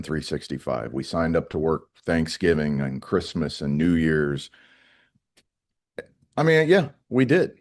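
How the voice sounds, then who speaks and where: A middle-aged man giving conversational interview answers as a union spokesman, plain-spoken and emphatic, repeating phrases for stress. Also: sits low. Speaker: air traffic controllers' union spokesman.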